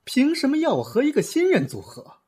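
A man's voice: very high-pitched, wildly swooping pitch, brisk, darkish tone, heavily acted. Man playing an arrogant, suave male pop star. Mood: indignant. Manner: haughty, suave. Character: arrogant male pop star, two-faced, smooth in public. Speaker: voice actor in an audio drama. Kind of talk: Chinese audio drama acting.

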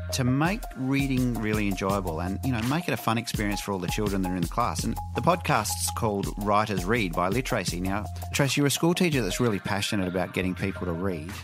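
An Australian man with a fairly low voice hosts a podcast. He talks in a casual interview style, with music clearly playing behind him. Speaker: podcast host.

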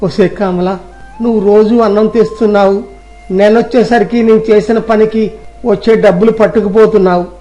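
Male actor as aggrieved husband, high voice, mellow tone, pleading complaints, storybook drama. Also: steady tone, a little fast, highs cut off, soft background music, close to an everyday voice. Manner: plaintive, complaining. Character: aggrieved working husband pleading with his wife.

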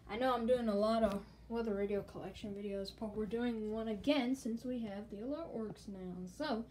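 Casual, halting woman vlogger, noisy home recording. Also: fairly high pitch, a little mellow. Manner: informal, halting, repeats stock phrases. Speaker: host of a gadget collection video channel. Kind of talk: casual handheld show-and-tell vlog. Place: small room indoors. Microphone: handheld phone or camera, held close.

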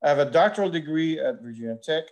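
A man's voice: on the high side, expressive, a little mellow. Male engineering lecturer. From Puerto Rico. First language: Spanish. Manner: measured explanatory delivery with frequent "uh" fillers. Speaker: university instructor. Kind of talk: recorded course lecture.

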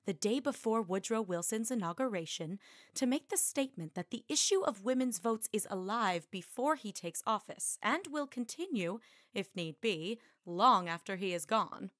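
Poised young suffrage organizer, played by an actress. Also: female voice, medium pitch, lively intonation, normal speed, fairly bright tone, no room sound, clean studio sound, close to an everyday voice. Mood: firm. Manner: formal, emphatic. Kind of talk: radio drama acting.

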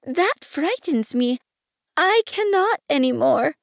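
A woman's voice: high-pitched, animated, unhurried, creaky, no echo, clean studio sound, voice pushed up, full character voice. A woman voicing a timid, curious young prince, a small boy in a storybook, with a shy questioning manner. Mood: embarrassed, abashed. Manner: timid, questioning, soft-edged.

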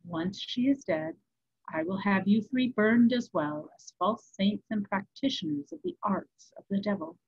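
A woman playing a grave, husky zealot abbot. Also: mid-range voice, sing-song, muffled recording, heavily acted. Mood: grave, menacing. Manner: earnest, grave, drawn-out. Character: solemn zealous medieval abbot, grave, earnest, threatening man.

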